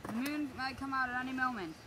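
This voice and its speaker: A woman reading aloud, voicing a tense young male doctor speaking low and urgently, with background noise. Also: animated, a little fast, recorded from a distance, close to an everyday voice.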